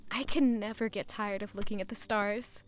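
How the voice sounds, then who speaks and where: A woman's voice: mid-range voice, fairly even intonation, normal speed, natural voice. A woman playing an affectionate, playful young woman in love in an audio drama, with a light, romantic manner. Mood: happy, enchanted.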